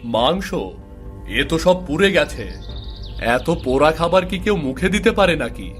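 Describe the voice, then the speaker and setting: A man voicing a genial, eager middle-aged father with expressive delivery in an animated fairy tale. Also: on the high side, moderate pace, soft background music, natural voice. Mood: dismayed.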